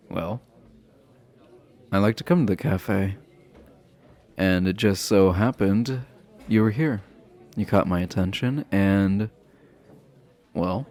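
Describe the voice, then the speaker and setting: A man playing a low-voiced, slow, flirty himbo jock. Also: a little mellow. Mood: flirty, bashful.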